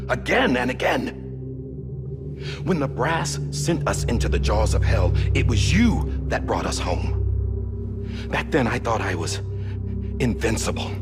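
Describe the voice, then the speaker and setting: An actor playing a loyal, guilt-ridden soldier in a game drama, halting and earnest, often dropping toward a whisper. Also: male voice, fairly low pitch. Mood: emotional, devoted. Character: loyal, guilt-ridden soldier torn between oath and former commander. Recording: loud music behind the voice. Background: cinematic score.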